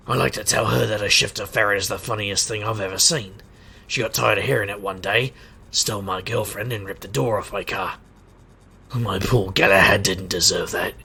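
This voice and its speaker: An actor playing a wisecracking private eye and street magician, narrating an audio drama in a sardonic, gravelly way. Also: male voice, lowish voice, bright, forceful tone, heavily acted. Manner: sardonic, wry. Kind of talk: noir-style first-person narration and dialogue in audio drama.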